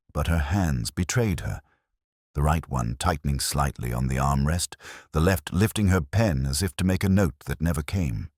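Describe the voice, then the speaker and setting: A man with an extremely low voice reads a scripted detective drama. His delivery is measured and brooding, with lively rises and falls in pitch. The sound is dry and close, suited to a reflective, weary investigator telling his own case. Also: dry recording. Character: world-weary, reflective male police detective. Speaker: audio drama narrator. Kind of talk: scripted first-person detective story narration.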